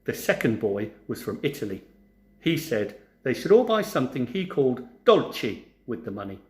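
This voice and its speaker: A man narrating a storybook for children in an expressive, theatrical way, like a teacher. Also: mid-range voice, normal speed. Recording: roomy, echoing sound.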